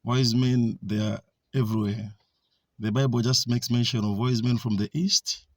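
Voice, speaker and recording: A man's voice: medium pitch, fairly even intonation, moderate pace, no room sound, studio quality. A man from Zambia with a Zambian accent, giving an informal talk in a hesitant way, with many fillers and restarts.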